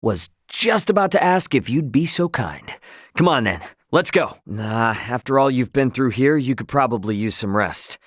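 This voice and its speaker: A man's voice: medium pitch, expressive, moderate pace, no echo, clean studio sound, lightly acted. A man playing an easygoing, cocky young adventurer hero in a video game, speaking casually and wryly. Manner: casual, colloquial, wry. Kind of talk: acted video game dialogue.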